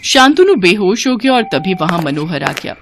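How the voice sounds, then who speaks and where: A woman's voice: mid-range voice, very animated, moderate pace, over music. A woman storyteller reading scripted fairy-tale narration for a cartoon in a dramatic storytelling manner.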